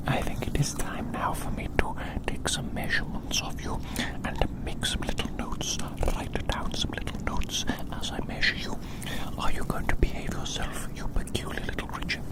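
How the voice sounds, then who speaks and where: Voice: natural voice, rough recording. A whispering ASMR performer playing a fussy, curious male naturalist, repeating phrases in quaint, old-fashioned wording. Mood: methodical, curious. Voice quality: breathy unvoiced whisper.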